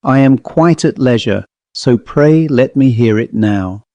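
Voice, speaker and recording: A man voicing a gentle but firm mistress of a household who presses her servant to speak plainly. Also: mid-range voice, measured pace, dry recording, lo-fi sound, close to an everyday voice. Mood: grave. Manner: coaxing, then insisting on plain speech.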